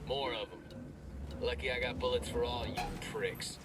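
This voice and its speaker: Actor as male squad soldier, video game, medium pitch, cocky, terse, distant, heavy noise. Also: little pitch movement, moderate pace, lightly acted. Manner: terse military talk. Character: cocky, gritty male special forces soldier. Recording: distant voice, loud background noise.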